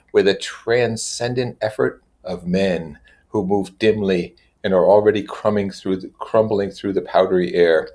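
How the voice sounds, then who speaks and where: A man reading literature aloud in a measured way, with casual explanatory asides. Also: sits low, darkish tone. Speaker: reader and commentator.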